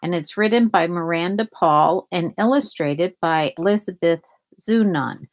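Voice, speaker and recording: A woman reading a children's picture book aloud in a deliberate way, pausing to explain things to young listeners. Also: fairly low pitch. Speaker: storybook reader.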